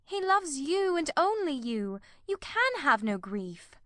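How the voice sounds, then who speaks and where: A woman's voice: high-pitched, very animated, slow, a bit bright, no room sound, studio quality, exaggerated voice. An actress voicing an impassioned, heartbroken young woman who pleads in sob-laden outbursts in an audiobook drama. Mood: anguished.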